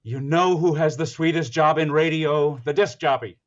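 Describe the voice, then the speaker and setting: A man's voice: a little high, normal speed, lightly acted. A man playing a folksy, eager 1940s radio comedy guest, insistent and stumbling on a lo-fi recording.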